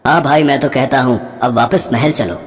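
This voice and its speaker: A man's voice: medium pitch, normal speed, natural voice. A man voicing a devoted princely brother in a fairy tale, earnest and pleading. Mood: weary, resolute. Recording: long reverb.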